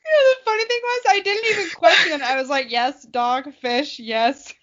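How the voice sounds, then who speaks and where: A woman's voice: sits high, normal speed, a bit bright. A young woman making casual, joking commentary in a wry, bemused manner. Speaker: podcast co-host. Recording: dull lo-fi audio.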